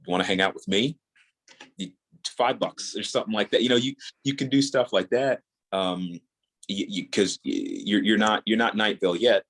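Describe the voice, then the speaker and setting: A man's voice: low voice, a bit bright. A man talking casually as an interview guest, earnest and rambling, often repeating words as he works out his thought. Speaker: podcast guest, audio drama creator.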